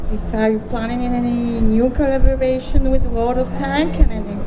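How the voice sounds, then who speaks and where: A woman's voice: a little high, fairly even intonation, normal speed. A woman journalist asking a question nervously, giggling and searching for words. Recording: clear outdoor background noise.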